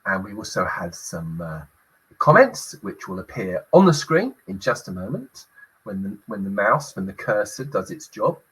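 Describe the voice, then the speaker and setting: A middle-aged man hosting a business talk show, speaking with a croaky voice as he recovers from illness, hesitant with many fillers. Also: fairly low pitch, sing-song, moderate pace, fairly bright tone, rough recording. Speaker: host.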